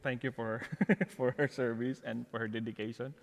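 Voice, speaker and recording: A man's voice: mid-range voice, flat delivery, normal speed, gravelly. A middle-aged man with a Filipino accent, talking conversationally as an interview guest and telling personal stories. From Philippines. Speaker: guest, parish outreach coordinator. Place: church, before the altar. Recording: long echoey reverb of a large hall.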